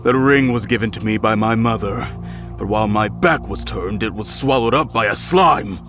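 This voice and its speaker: A man's voice: fairly low pitch, moderate pace, over music, natural voice. A man playing a courteous, worried innkeeper in a video game, speaking politely and a little hesitantly. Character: worried, courteous male innkeeper, plain everyday sort. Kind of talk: video game character acting.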